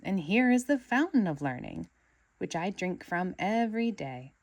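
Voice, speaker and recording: A woman voicing an earnest, excitable young sea sponge student in a storybook, with dramatic, reverent delivery. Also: mid-range voice, moderate pace, no echo. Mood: awed, reverent.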